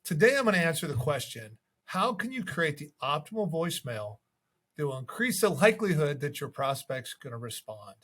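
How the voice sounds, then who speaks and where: A man's voice: fairly high pitch, very animated, fairly quick, a bit bright, slightly rough. A man giving instructional sales tips as a coach, in a conversational manner. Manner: conversational, acts out sample calls. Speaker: real estate sales coach.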